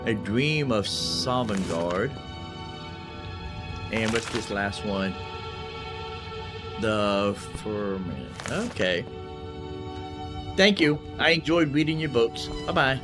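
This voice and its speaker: A man's voice: fairly low pitch, animated, takes it slowly, long pauses. Folksy man doing casual gameplay commentary. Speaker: gaming channel host. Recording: game music behind the voice. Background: game music.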